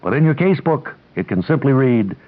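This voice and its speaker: A pompous young hospital doctor, played by a man, explains things with pedantic, literal-minded certainty. He has a middling male pitch and the deadpan delivery of radio comedy, heard through a very narrow, tinny recording. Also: a little fast, close to an everyday voice. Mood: smug. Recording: tinny, band-limited old radio recording.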